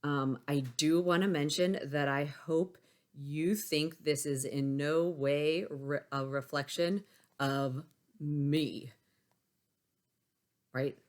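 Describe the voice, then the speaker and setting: A woman teacher reading a picture book aloud to young pupils, expressive, with chatty asides. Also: deep voice, slow pace. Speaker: elementary school teacher.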